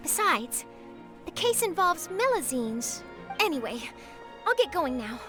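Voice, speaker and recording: A woman's voice: sits high, sing-song, moderate pace. A woman voicing an eager, principled young female reporter in an anime game. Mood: confiding. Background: game music.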